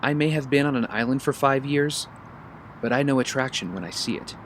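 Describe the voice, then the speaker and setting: A man's voice: mid-range voice, fairly quick, a little hiss or hum, natural voice. Earnest, wry young hero, acted by a man. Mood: teasing. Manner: earnest, casual, teasing. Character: earnest, wry young male vigilante making amends. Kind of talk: audio drama acting.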